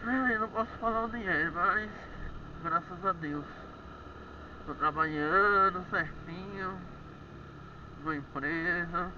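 A Brazilian man with a high voice talks casually to his followers as he rides. The audio is dull and muffled, with clear engine and road noise around him. His delivery is informal and addressed straight to the viewer. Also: takes it slowly, pauses often. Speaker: motorcycle vlogger and delivery rider. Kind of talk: casual chat to viewers while riding. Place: outdoors on a moving motorcycle at night. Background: motorcycle engine and road noise.

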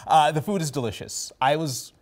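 A man's voice: fairly high pitch, moderate pace. A man presenting and chatting on a morning TV news show from a studio, upbeat and conversational, with a lapel mic. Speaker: news anchor and reporter.

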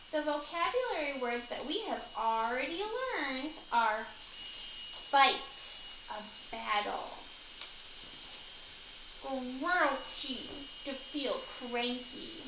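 A young woman with a high, creaky voice reads aloud to children as their teacher. She speaks deliberately and explains as she goes. The recording is echoey, made in a living room. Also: expressive, noisy background. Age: young adult. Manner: slow, deliberate, instructional. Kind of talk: children's read-aloud lesson. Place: small living room, on a couch indoors.

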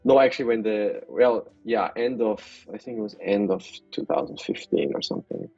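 Deep husky man from Austria on a call. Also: moderate pace, muffled recording, faint music behind. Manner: relaxed, hesitant, many fillers. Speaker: guest, software developer. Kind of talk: casual video call interview. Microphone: video call.

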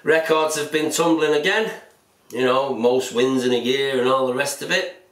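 A man's voice: mid-range voice, steady tone, moderate pace, a bit bright. A bearded man in his forties sits at a table in a small room and chats to camera about football in a plain-spoken, easygoing way. The room is echoey, and he sounds a little distant from the mic. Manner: chatty, plain-spoken, self-described pessimist. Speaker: football fan vlogger. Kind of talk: casual solo commentary to camera. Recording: roomy echo, mic set back.

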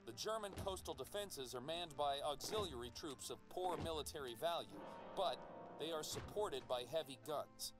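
A man's voice: on the high side, lively intonation, moderate pace, natural voice. A man playing a paratrooper officer in a war game, giving a formal, clipped mission briefing to his commander. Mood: urgent, businesslike. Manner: formal, clipped military reporting. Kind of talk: scripted military mission briefing. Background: music under the voice.

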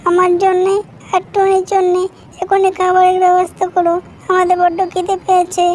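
A tiny, excitable cartoon bird with an extremely high voice and little melodic movement. It exclaims eagerly and repeats its phrases. There is faint noise behind it. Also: female voice, brisk. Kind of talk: children's cartoon story dialogue.

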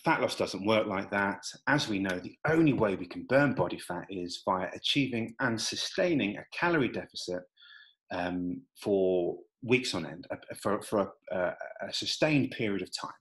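A man's voice: on the low side, moderate pace, rough recording. Chatty man explaining nutrition slides. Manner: relaxed, many fillers and restarts. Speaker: nutrition coach. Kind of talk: talking over slides, casual explainer.

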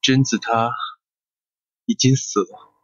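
A man playing a sickly, dreamy older brother in an audio drama, quiet and detached. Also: mid-range voice, steady tone, slow pace, natural voice. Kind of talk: Mandarin audio drama acting. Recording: dull, dry lo-fi recording.

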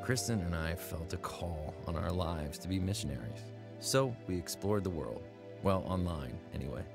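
A man's voice: low-pitched, lively intonation, normal speed, gravelly. Earnest man narrating over music. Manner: earnest, reflective. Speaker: narrator and lead character. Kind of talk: scripted radio drama narration. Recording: studio drama over music. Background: music bed.

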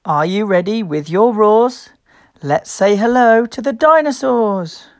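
A woman reading a picture book aloud to young children as host, expressive, playful and encouraging. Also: medium pitch, a little slow, no room sound, studio quality. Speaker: children's storybook reader and host.

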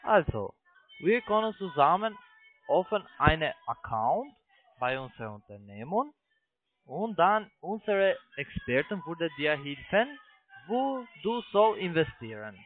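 Through a narrow, tinny phone connection comes a fairly high-voiced man with a foreign accent. He is a non-native speaker giving a sales pitch, halting often and starting his broken sentences over. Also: big pitch swings, soft background music. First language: not German. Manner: halting, broken German with repeated restarts. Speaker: phone sales agent, investment scam caller.

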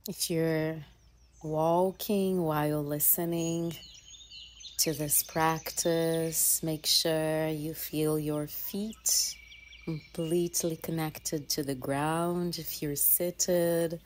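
Slow, low-voiced woman guiding a meditation. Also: flat delivery. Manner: soothing, gentle, with long pauses between short phrases. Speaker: yoga and mindfulness teacher leading a guided meditation. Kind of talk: guided meditation. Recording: faint ambient noise with birdsong. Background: birdsong and nature ambience.

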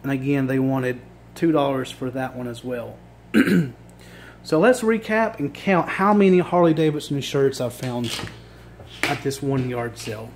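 A man with a Virginia accent, losing his voice and clearing his throat, chatting casually to a phone camera indoors as he shows off thrift finds. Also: mid-range voice, a little slow, a little mellow, a little hiss or hum. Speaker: thrift reseller vlogger. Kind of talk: casual show-and-tell vlog. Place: indoor room with items on a table. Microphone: phone camera held while filming.

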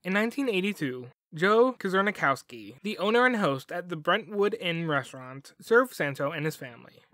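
A man's voice: high voice, expressive, moderate pace, fairly bright tone, no room sound, clean studio sound. A man hosting a podcast, reading scripted documentary narration in a wry manner. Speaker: podcast host.

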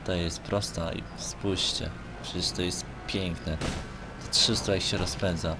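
A man's voice: low voice, fairly even intonation, slow pace. A young man from Poland talking casually and hesitantly as he plays a game, in a rambling, colloquial way. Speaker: gaming video creator. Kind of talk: casual rambling talk over gameplay. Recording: noisy home microphone recording. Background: noise with car engine sounds from the game.

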